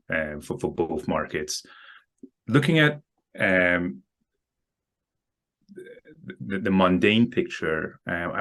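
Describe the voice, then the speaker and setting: Low-voiced hesitant male market guest. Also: a bit bright. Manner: hesitant, many ums and repeated words. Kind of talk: casual interview answers.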